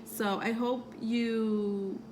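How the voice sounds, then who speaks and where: A woman with a soothing voice, who grew up outside the United States, talking about astrology to her viewers in a meandering way full of ums and soft laughs. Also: on the high side, fairly even intonation, extremely slow, noisy background. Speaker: tarot reader and astrology vlogger. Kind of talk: casual rambling talk to camera.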